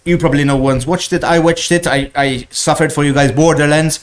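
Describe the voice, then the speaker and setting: A Maltese man with a slightly higher-than-average voice talks casually on a podcast. He is blunt and opinionated, swears freely and speaks in an informal group-chat style. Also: steady tone, brisk. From Malta. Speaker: podcast co-host.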